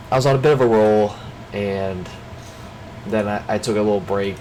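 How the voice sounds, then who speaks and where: A man's voice: on the low side, normal speed, darkish tone, a little hiss or hum, poor audio. A young man with a stuffed-up nose, speaking off the cuff in a casual daily check-in and rambling with lots of fillers and restarts. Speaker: vlogger.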